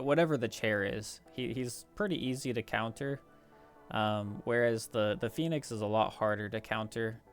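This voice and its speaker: A man casually commentating on his gameplay, talking through strategy in a hesitant, rambling way. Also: fairly low pitch. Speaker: gaming video host. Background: background music.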